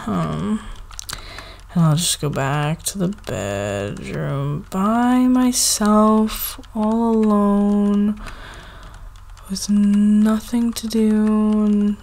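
A needy, playful girlfriend acted by a woman in an intimate ASMR roleplay. She talks very slowly and softly at a medium pitch, with halting, pleading phrases that sound affectionate and eager for cuddles. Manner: soft, halting, pleading, giggly delivery.

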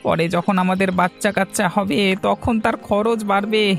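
A man's voice: high voice, steady tone, moderate pace, vocal fry, soft background music. A man voicing an earnest, anxious younger-brother farmer in a fairy-tale cartoon.

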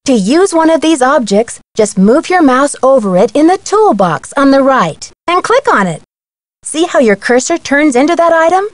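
A woman's voice: high-pitched, very animated, moderate pace, no room sound. A woman playing a young, confident amateur detective, giving friendly scripted tutorial instructions in a video game. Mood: chipper, instructive. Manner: friendly, clear instructional delivery.

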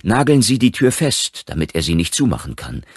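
A man plays an authoritative doctor in a horror audiobook. He is impatient and sure of himself, with a husky, animated delivery. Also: on the low side, dry recording, clean studio sound, voice pushed up. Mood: firm. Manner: brisk, authoritative. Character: confident, impatient male small-town doctor.

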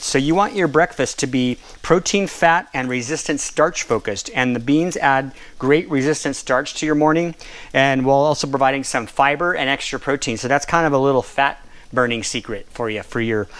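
Male cooking instructor, fairly high and clear voice, easygoing how-to delivery with fillers. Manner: relaxed, explanatory, with fillers and self-corrections. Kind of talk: casual cooking demonstration. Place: home kitchen at a gas stove, seen from overhead.

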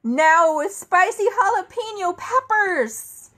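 A woman in her thirties reading a children's picture book aloud at home, expressively, with theatrical emphasis and deliberate phrasing. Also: very high-pitched, expressive, a bit bright. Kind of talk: animated read-aloud to children. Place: indoor room at home, seated at a table.

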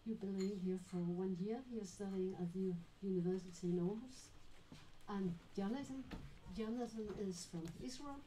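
A woman's voice: mid-range voice, normal speed, dark tone, a little hiss or hum. Woman moderating a panel in an echoey room. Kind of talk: hosting a live panel talk. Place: small dim venue with live audience.

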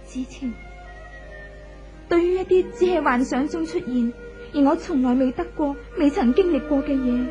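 Woman acting a melancholy young wife, high soft-toned voice. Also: moderate pace, close to an everyday voice. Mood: anguished confession. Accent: Hong Kong Cantonese. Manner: plaintive, confessional. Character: melancholy, conflicted young wife, restless in marriage. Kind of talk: 1970s Hong Kong radio drama acting. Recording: dull vintage radio recording with music.

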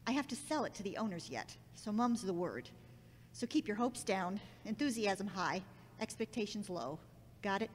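Casting: businesslike female boss acted by a woman, animated delivery, echoey recording with some noise. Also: medium pitch, normal speed, close to an everyday voice. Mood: brisk, firm. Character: brisk, businesslike woman boss, nearing retirement. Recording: echoey hall reverb, background noise.

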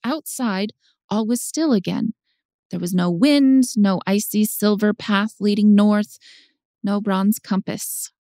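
A woman's voice: mid-range voice, slow pace, dark tone, no echo, studio quality. A woman narrating a story for an audiobook in a scripted style.

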